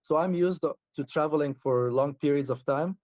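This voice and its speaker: A man's voice: a little high, normal speed, darkish tone, dry recording. A man chatting casually as an interview guest, often laughing and restarting his sentences. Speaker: traveler guest.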